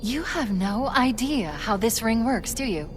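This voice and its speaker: A woman playing a sharp-tongued, defiant female artificial intelligence in a video game, sarcastic, biting and emphatic. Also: on the high side, lively intonation, a little slow, long reverb tail, music in the background. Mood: angry, emphatic. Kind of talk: video game cutscene acting.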